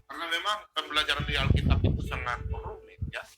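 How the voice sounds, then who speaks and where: A man's voice: fairly high pitch, quick pace. A man hosting a casual live religious chat in an online room over a group call. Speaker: host of an online discussion room. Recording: clearly noisy online call audio.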